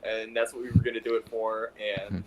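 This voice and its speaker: A man speaking as a podcast guest, explaining things casually in a slightly hesitant way, with pauses and hedges. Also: lowish voice, little pitch movement. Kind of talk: casual chat. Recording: clear background noise.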